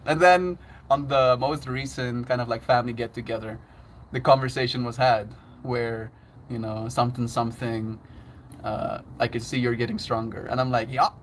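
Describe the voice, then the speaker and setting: A man's voice: medium pitch, a little hiss or hum, rough recording. A man chatting casually on his livestream, laughing often and reading out chat messages.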